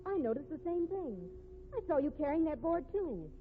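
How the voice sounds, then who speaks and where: An actress playing a sharp-tongued, excitable young woman in a 1950s radio mystery, speaking assertively and emphatically. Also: high voice, normal speed. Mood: pointed. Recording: tinny band-limited old radio recording, hissy.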